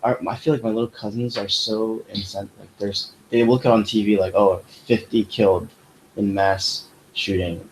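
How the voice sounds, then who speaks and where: Animated young man with low voice. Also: moderate pace, a little hiss or hum, poor audio. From United States. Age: young adult. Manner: animated, rambling, many fillers. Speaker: podcast host. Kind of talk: casual chat.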